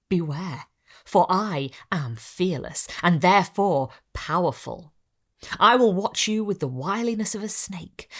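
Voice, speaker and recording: Woman voicing a brooding vengeful creature. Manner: low, smothered, threatening delivery. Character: wretched vengeful male creature, brooding and menacing.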